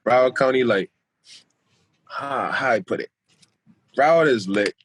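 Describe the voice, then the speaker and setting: A man's voice: mid-range voice, unhurried, husky, dry recording. A young man with a light Bahamian accent mixed with South Florida, talking loosely as a podcast guest and often repeating himself. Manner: laid-back, rambling, repeats himself. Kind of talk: casual podcast chat.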